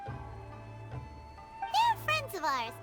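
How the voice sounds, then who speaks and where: Childlike fairy mascot, game, very squeaky, drawn-out, cheeky delivery, music loud underneath. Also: female voice, wildly swooping pitch, full character voice. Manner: squeaky, blunt, chirpy. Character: tiny childlike chirpy floating fairy companion, cheeky. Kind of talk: video game voice acting.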